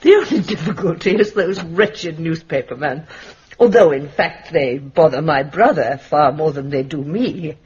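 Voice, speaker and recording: A woman's voice: sits low, expressive, normal speed, a little mellow, breathy edge, full character voice. A woman playing an imperious, eccentric old spinster in a radio drama, speaking in a grand, precise, commanding way. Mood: gracious, grand. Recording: dull lo-fi radio drama recording.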